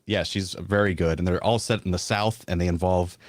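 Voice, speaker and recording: A man's voice: low-pitched, steady tone, brisk, no room sound. A man who is a voice actor, chatting casually and laughing often as an interview guest. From American South.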